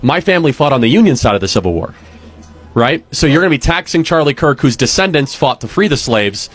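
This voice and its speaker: A man's voice: mid-range voice, sing-song, fast talker. A man in a live debate, pressing his opponent with argumentative questions. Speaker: debater at a campus table.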